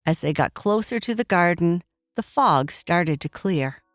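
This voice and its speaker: A woman's voice: on the low side, lively intonation, measured pace, no echo, clean studio sound. A woman storyteller narrating a scripted bedtime story for children.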